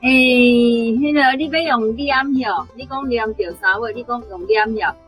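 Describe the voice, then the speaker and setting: A woman's voice: fairly high pitch, unhurried, a bit bright, soft background music. A woman with a Taiwanese accent giving unscripted explanations and corrections as a language teacher.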